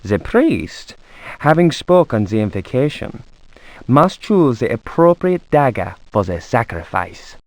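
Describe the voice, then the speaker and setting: Occult scholar, game book narration, formal, grave, animated intonation, dry studio sound. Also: male voice, medium pitch, gravelly, no echo. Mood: grave, scholarly. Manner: formal, measured academic delivery. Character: scholarly male occult researcher reading his grim treatise. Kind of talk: scripted dramatic reading.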